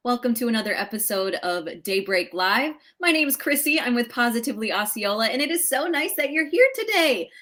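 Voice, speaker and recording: A woman's voice: a little high, sing-song, fairly quick, few pauses, fairly bright tone. Bubbly woman hosting a live show. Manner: bubbly, chatty, enthusiastic. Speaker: host of a live local talk show.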